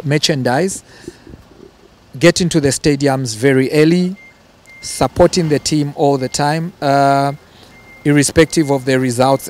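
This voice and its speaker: A man answering interview questions, often repeating words as he gathers his thoughts. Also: on the high side. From Zambia. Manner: hesitant, repeats words, chuckles between phrases.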